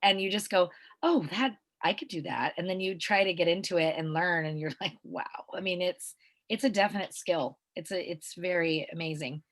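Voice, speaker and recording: A woman's voice: fairly low pitch, moderate pace. A woman interviewing someone casually, in an informal, enthusiastic way.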